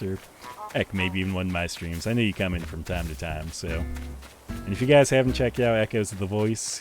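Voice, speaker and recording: A young man with a deep voice chatting casually with viewers while he plays a game on a live stream, rambling in a relaxed way. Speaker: livestreamer. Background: faint game music.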